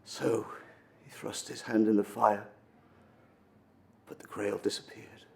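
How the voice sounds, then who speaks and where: A man acting a dramatic monologue as an earnest, wistful storyteller recounting an old legend, with intense pauses and swells. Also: on the low side, little pitch movement, creaky, warm tone. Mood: grave, sorrowful. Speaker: amateur actor performing a film monologue.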